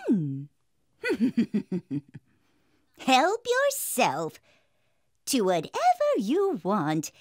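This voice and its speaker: A woman playing a scheming, sly wicked older woman in a children's cartoon, drawn-out and theatrical. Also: lowish voice, dry recording, heavily acted. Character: scheming stepmother and sly old witch.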